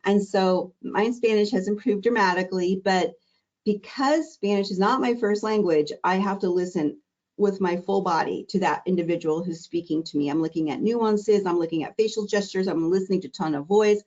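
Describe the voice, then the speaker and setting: A middle-aged woman, a diabetes educator, teaching an exam-prep webinar in a warm, conversational, encouraging way. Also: mid-range voice, normal speed, lo-fi sound. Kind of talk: live online exam-prep lesson.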